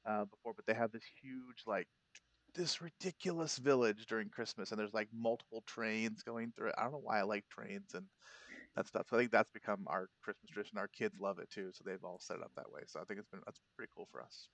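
A man's voice: fairly low pitch, fairly quick, fairly bright tone, dry recording. A man in his thirties, a podcast host, telling a rambling holiday story in casual chat, often restarting his sentences. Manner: rambling, self-correcting storyteller.

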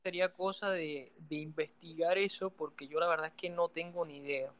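A man talks casually as a podcast guest, his voice a little high for a man. He hesitates and repeats words as he looks for what to say. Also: fairly even intonation, a little fast, a bit bright. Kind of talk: casual chat.

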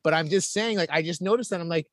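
A man's voice: fairly high pitch, fast talker, fairly bright tone, no echo, studio quality. A man hosting a podcast, chatting about movies in an emphatic, repetitive way and swearing now and then. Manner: emphatic, repeats himself, profane. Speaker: podcast host. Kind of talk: casual movie chat.

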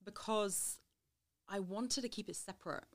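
A woman in her thirties, a life coach, talks through her childhood as a guest on a podcast. Her voice sits in a middle range, and she tells her story openly, often breaking into laughter. She speaks in a thoughtful, reflective way. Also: mid-range voice. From Britain, raised between Scotland, Devon and Wales. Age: 30s. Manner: open, quick to laugh, reflective. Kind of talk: interview, personal story.

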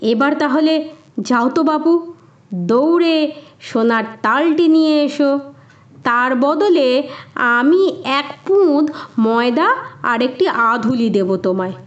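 Casting: haughty, grasping landlord man, voiced by a woman, storybook, high pitch, slightly muffled sound. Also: lively intonation, slow, pauses often. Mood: greedily coaxing.

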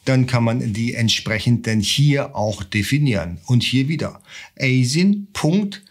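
A man's voice: medium pitch, animated, unhurried. A man explaining a screen-share tutorial in German, talking the viewer through steps with rhetorical questions. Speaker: online seller tutor.

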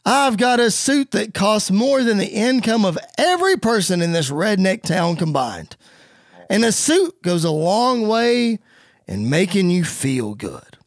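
A smug, showy wrestling villain holds forth, performed by a male storyteller. The speech is pompous and theatrical, in a high, animated voice recorded dry. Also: measured pace, dry recording, exaggerated voice. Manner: pompous, theatrical promo delivery.

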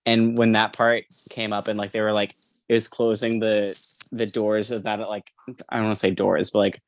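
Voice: mid-range voice, level tone, normal speed. A podcast co-host casually discussing books in an emphatic way, often repeating phrases.

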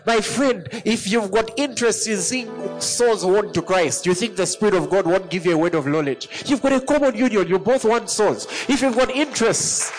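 A middle-aged man preaching a sermon. He talks in an animated way and laughs often between points. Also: sits high, moderate pace, hardly any pauses, soft background music, rough recording. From Zambia.

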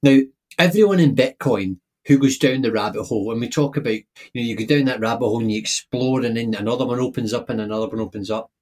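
Husky male podcast co-host, emphatic and opinionated, casual chat. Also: medium pitch, quick pace, darkish tone. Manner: opinionated, emphatic, repeats words when starting.

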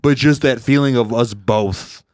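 A young man with a deep voice, a comedian hosting a podcast in loose, self-deprecating chat. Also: unhurried, background noise. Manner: rambling, self-deprecating, swears casually. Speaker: podcast host, stand-up comedian.

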